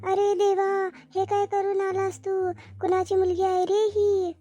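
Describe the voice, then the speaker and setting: Woman acting a shrill-pitched, scolding mother-in-law. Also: completely flat delivery, a little slow, dark tone, faint music behind. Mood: dismayed, wailing. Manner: melodramatic, scolding. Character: greedy, quarrelsome middle-aged mother-in-law, loudly scolding. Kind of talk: comedy cartoon dialogue.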